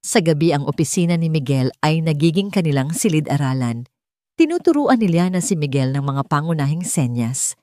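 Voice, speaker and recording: A woman's voice: low voice, animated, fairly quick, warm tone, no echo. A woman reading a scripted dramatic story as an audiobook narrator.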